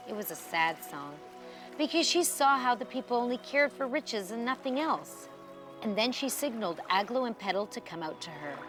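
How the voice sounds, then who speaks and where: Lady storyteller reading to kids, super animated, dramatic pauses, bit of music behind. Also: medium pitch. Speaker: children's storyteller.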